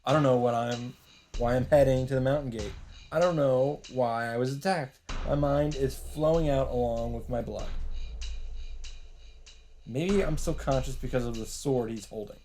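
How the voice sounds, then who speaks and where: A man's voice: medium pitch, normal speed, mellow, a little hiss or hum. A man doing a dramatic read-aloud as host of a visual novel playthrough. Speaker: let's play host reading aloud. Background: faint game music.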